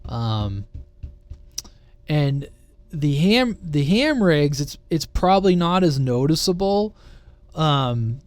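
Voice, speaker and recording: A man's voice: fairly high pitch, very animated, slow, long pauses, no room sound. Casual man explaining tech, dry close sound. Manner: informal, conversational, checks in with listeners with "right?" and "okay?". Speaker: presenter of a CB radio explainer channel. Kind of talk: casual, unscripted technical explainer.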